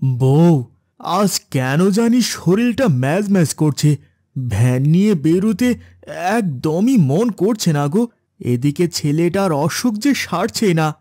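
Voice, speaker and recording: A man's voice: fairly high pitch, animated, slow, warm tone, dry recording, studio quality. A man playing an honest village van driver and worried father, polite and folksy, in a horror cartoon. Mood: weary, worried. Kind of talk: Bengali horror cartoon dialogue.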